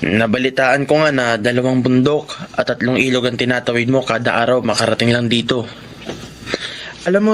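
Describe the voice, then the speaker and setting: A man playing a kind, encouraging schoolteacher in a Filipino radio drama, with a gentle manner. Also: medium pitch, slight background noise, close to an everyday voice.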